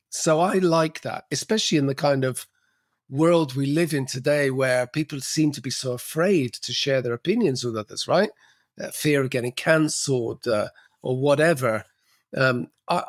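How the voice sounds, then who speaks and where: A man's voice: medium pitch, dry recording. A middle-aged man speaks in a mid-range voice. He talks in a loose, reflective way, often restarting phrases and leaning on "actually." The sound is close and dry, with no room around it. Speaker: podcast guest, cultural coach. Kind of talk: casual interview conversation.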